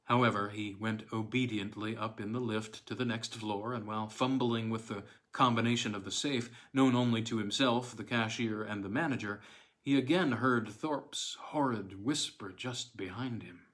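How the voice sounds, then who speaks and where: A man's voice: lowish voice, a bit bright. Man narrating a classic weird tale. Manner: measured, deliberate storytelling with dramatic pauses. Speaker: audiobook narrator.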